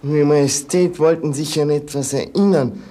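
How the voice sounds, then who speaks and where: A man's voice: fairly high pitch, fairly even intonation, moderate pace, warm tone, close to an everyday voice. A male actor playing an obedient, formal royal servant in a 1950s radio play. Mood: dutiful. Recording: 1950s radio drama recording.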